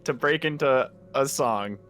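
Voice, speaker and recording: A man's voice: medium pitch, a little slow, over music, lightly acted. A man playing an eager, happy-go-lucky bard in an improvised fantasy game roleplay, talking casually. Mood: gleeful. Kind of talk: improvised Minecraft roleplay acting.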